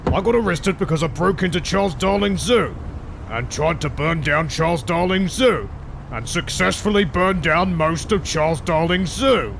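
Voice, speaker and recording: A man's voice: fairly high pitch, moderate pace, vocal fry, fairly bright tone, slight background noise, poor audio. A man voicing a brash, hot-headed, macho adventurer in a bombastic, declaiming way for a comic dub. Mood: blunt, indignant.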